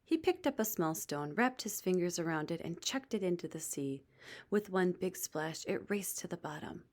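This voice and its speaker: A woman reading a children's book aloud as expressive scripted narration. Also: lowish voice, normal speed. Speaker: storybook reader.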